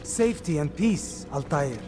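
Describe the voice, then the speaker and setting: A man's voice: on the high side, lively intonation, measured pace, over music. An actor playing a wary, earnest male medieval assassin in a video game, formal and cautioning. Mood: greeting. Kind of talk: dramatic video game acting.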